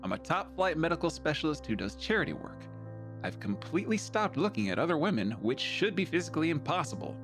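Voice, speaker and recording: A man's voice: fairly high pitch, sing-song, quick pace, over music. A man playing a charming, playfully arrogant combat doctor in audio drama dialogue, affectionate beneath the swagger. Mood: cocky, charming.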